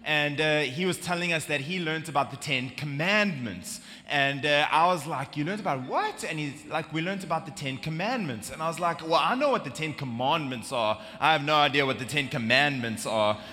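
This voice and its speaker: A man's voice: a little high, bright, forceful tone. A man with a South African accent preaching a sermon as pastor, chatty and joking, then solemn when reading scripture. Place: large church hall. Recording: long reverb of a large hall.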